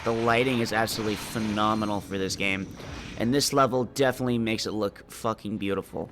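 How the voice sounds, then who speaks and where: A man's voice: mid-range voice, fairly bright tone, slight background noise. A man with a stuffy, congested nose, chatting casually as host of a let's play. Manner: chatty with fillers and laughs. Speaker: gaming channel host.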